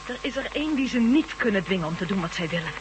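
A woman's voice: medium pitch, expressive, quick pace, close to an everyday voice. An actress playing a determined woman who resists mind control, speaking earnestly in a radio drama. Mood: resolute. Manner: earnest, urgent, resolute. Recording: humming noisy old radio recording.

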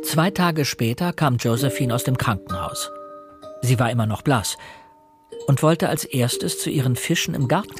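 An actor playing an earnest young fiancé who narrates a murder mystery radio play in a measured, serious way. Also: male voice, medium pitch, lively intonation, music in the background, natural voice. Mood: sober narrating. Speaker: narrator and lead character. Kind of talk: crime radio drama narration and dialogue.

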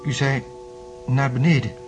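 An actor playing a troubled, anxious tenant in a radio drama, earnest and hesitant. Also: male voice, medium pitch, steady tone, unhurried, music in the background, natural voice. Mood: distressed. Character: troubled male tenant, anxious, convinced the house is wrong. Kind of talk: Dutch radio drama acting.

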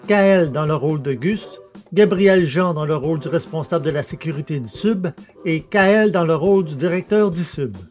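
A man's voice: fairly high pitch, darkish tone. A man presenting and interviewing on a podcast in a scripted announcing style.

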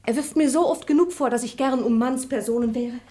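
Brief: a woman as a bright-voiced young lady, teasing and playful, radio play. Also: fairly high pitch, a little fast, close to an everyday voice. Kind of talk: radio drama acting.

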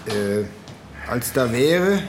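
A man's voice: medium pitch, lively intonation, a little hiss or hum. An actor playing a hesitant, awkward younger man, a deferential nephew, in a naturalistic radio drama. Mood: wary. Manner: halting, deferential, unsure.

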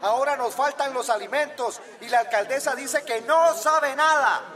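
Radio soap role, male actor: indignant townsperson, strikingly high voice, demanding, street crowd all around. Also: moderate pace, sharp tone. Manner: insistent, demanding. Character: angry townsperson protesting and demanding answers. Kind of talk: radio soap opera acting.

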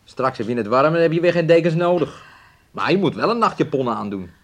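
A man's voice: on the high side, lively intonation, a little fast. An actor in a radio play, playing a callous, impatient man who bullies his partner. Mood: sullen reproach. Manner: brusque, impatient, refers to himself in third person. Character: domineering, callous man bullying his sick woman partner.

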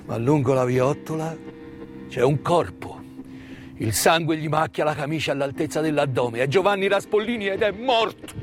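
A man performing a dramatic theatrical monologue, intense and emphatic, with repeated words and pauses. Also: a little high, expressive. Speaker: stage actor-narrator. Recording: live stage performance with music. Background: live music.

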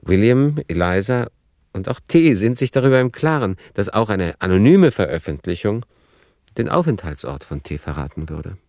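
A man reading scripted radio drama narration in a formal, matter-of-fact, measured manner. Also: on the low side, very animated, darkish tone, dry recording, studio quality.

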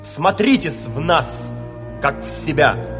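A proud revolutionary narrator with a fairly high voice, declaiming very slowly and solemnly over music. The delivery is emphatic Soviet radio theatre. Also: male voice, lively intonation. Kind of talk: theatrical verse declamation and scene announcing.

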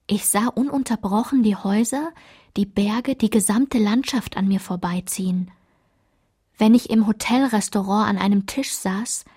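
An actress playing a woman who recalls her painful girlhood, narrating a literary radio drama in a measured, reflective way. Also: medium pitch, dark tone, slightly rough.

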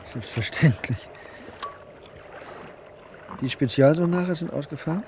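An actor playing an obsessed, resolute whale researcher and diver in a German radio play. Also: male voice, fairly high pitch, measured pace, background noise. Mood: businesslike.

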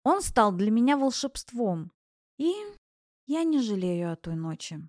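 A woman's voice: mid-range voice, very animated, a little slow, a little mellow, no room sound, clean studio sound. A woman voicing an anxious young female student in an audiobook, confiding her worries earnestly and vulnerably. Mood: rueful, confessional. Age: young adult. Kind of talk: audiobook character voice.

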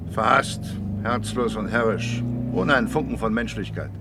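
A man playing a grave, world-weary confidant in a German radio crime drama, speaking in a measured, dry, brooding way. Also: lowish voice, very noisy, natural voice. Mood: grave, bitter.

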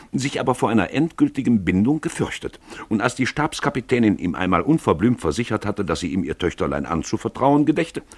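Deep, husky male storyteller, dramatic inflection. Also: dry recording. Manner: expressive, theatrical storytelling. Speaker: narrator. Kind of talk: scripted literary narration for radio drama.

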